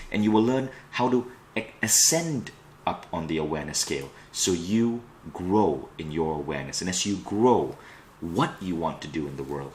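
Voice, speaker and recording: Very deep-voiced man presenting a training. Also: a little hiss or hum. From Kuala Lumpur, Malaysia. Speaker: personal growth coach and trainer. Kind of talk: slide-led online training presentation.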